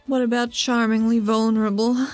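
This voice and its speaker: A woman's voice: fairly high pitch, flat delivery, normal speed, a little mellow, faint music behind, lightly acted. A woman playing a brash, casual, wry young female fighter in a tabletop roleplaying game. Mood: wry, persuasive.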